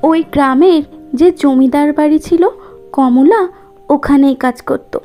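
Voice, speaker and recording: A woman's voice: sits high, steady tone, measured pace, mellow, faint music behind. A woman narrating a scripted cartoon folk story as the storyteller.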